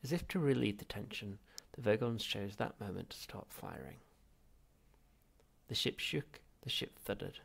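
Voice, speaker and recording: A man reading a comic science fiction novel aloud as an expressive audiobook narrator, with a gravelly, husky voice. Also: fairly low pitch, a little slow. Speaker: audiobook reader.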